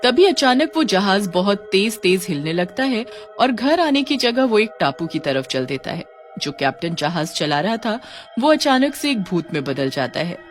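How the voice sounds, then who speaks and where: Very lively woman story narrator. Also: mid-range voice, normal speed, faint music behind. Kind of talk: scripted cartoon story narration.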